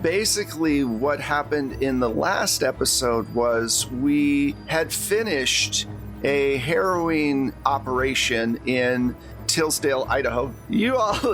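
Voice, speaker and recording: A man's voice: medium pitch, a bit bright. A man hosting and narrating a tabletop role-playing game in an informal, conversational way as game master.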